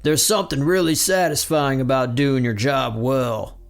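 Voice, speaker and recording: Man as a cocky, gravelly American soldier. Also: mid-range voice, lively intonation, moderate pace, soft background music, close to an everyday voice. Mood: proud, satisfied. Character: buff, cocky, gung-ho American soldier in army advert.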